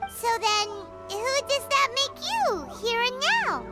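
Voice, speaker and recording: A woman voicing a small, cheerful, childlike fairy companion in a video game, chatty and bubbly with a squeaky voice. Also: sing-song, takes it slowly, full character voice. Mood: curious. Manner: bubbly, exclamatory. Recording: music playing behind the voice.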